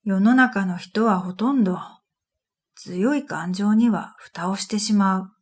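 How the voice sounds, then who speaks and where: A woman playing a pensive dream reader, narrating her own story in a quiet, measured radio drama style. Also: medium pitch, natural voice. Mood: pensive, calm. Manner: quiet, measured, pensive, trailing into breathy phrase ends. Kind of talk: scripted first-person radio drama narration.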